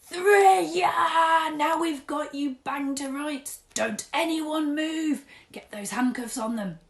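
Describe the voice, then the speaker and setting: A woman's voice: mid-range voice, normal speed, roomy sound, voice pushed up, close to an everyday voice. A woman reading a storybook aloud, voicing a bossy police sergeant who roars orders. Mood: commanding. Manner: roaring, commanding.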